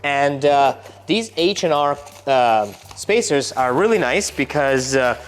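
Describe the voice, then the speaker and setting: A man's voice: medium pitch, expressive, slow pace, slight background noise. A man hosting a technical car build video, explaining parts in a casual way with jokey asides, in a workshop. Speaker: car tuning shop host.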